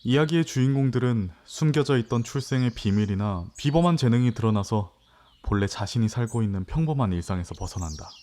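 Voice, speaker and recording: A man's voice: medium pitch, lively intonation, a little fast, mellow, lightly acted. A man playing a young farmer's son, narrating his own fantasy story in a reflective, literary way in an audio drama. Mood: wistful, reflective.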